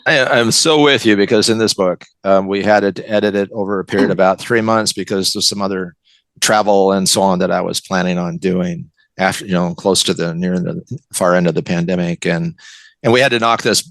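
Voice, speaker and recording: Older man, American accent, gravelly, lowish pitch, close dry sound, enthusiastic conversational interview guest. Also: steady tone, fairly quick, a bit bright, no room sound. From West Coast of the United States. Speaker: guest, novelist and college teacher.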